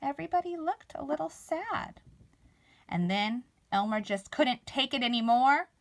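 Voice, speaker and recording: A woman reads a picture book aloud to children. Her voice sits fairly high and moves up and down in a very lively way, with some creaky fry. She uses dramatic pauses and emphasis to tell the story.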